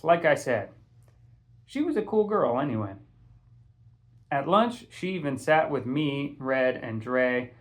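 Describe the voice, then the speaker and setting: A man reading a first-person story aloud in an expressive, casual, conversational way. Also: medium pitch. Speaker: audiobook reader, teacher.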